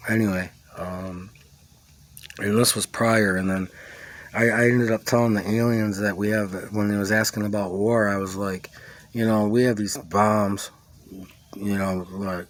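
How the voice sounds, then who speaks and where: Guy with a pretty deep voice just rambling thru his own story, super informal, lots of you knows and mans. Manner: informal, peppers talk with "you know", "like" and "man". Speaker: vlogger recounting own experience. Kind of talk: casual rambling personal storytelling.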